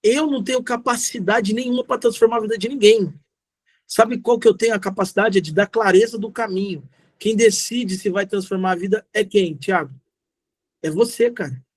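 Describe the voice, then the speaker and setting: A man's voice: sits high, normal speed, no echo. A middle-aged Brazilian man giving a motivational talk in answer to questions, speaking informally and directly, repeating key phrases for emphasis. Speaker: guest speaker, social entrepreneur.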